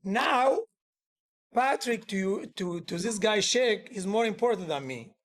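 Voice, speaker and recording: A man's voice: sits high, lively intonation, unhurried. A man who is a musician and music teacher, talking in a casual workshop setting. He is earnest and rambling, uses loose grammar and often restarts his sentences.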